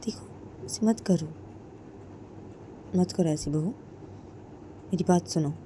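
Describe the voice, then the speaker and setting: A woman voicing a gentle middle-aged mother-in-law who soothes and coaxes softly. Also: lowish voice, takes it slowly, a little hiss or hum. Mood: soothing. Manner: soft, coaxing.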